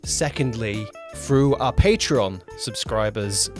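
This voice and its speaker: A man's voice: fairly low pitch, animated, takes it slowly, darkish tone, soft background music. A man co-hosting a casual behind-the-scenes podcast as a producer, rambling and often rewording himself mid-sentence. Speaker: podcast co-host, audio drama producer-director.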